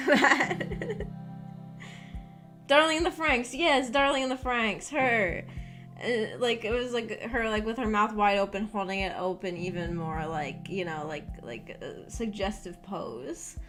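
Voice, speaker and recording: A young woman with a fairly high voice chats casually to her livestream audience. She rambles through personal stories in a giggly, animated manner, leaning often on "like". Music plays behind her. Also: unhurried. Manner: giggly, animated storytelling with frequent "like". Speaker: streamer hosting a visual novel book club. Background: background music.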